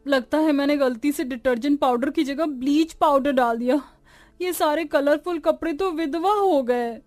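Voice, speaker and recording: A woman's voice: high-pitched, moderate pace, a little mellow, soft background music, lightly acted. A woman playing a haughty, lazy young daughter-in-law in a cartoon family drama, snappish and scolding. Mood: whining, regretful. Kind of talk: Hindi animated moral-story acting.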